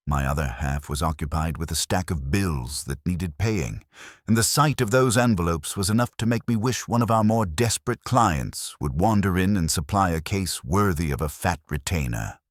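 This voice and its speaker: A man's voice: sits low, no echo, studio quality. A man narrating a scripted first-person detective story in a wry, hardboiled manner.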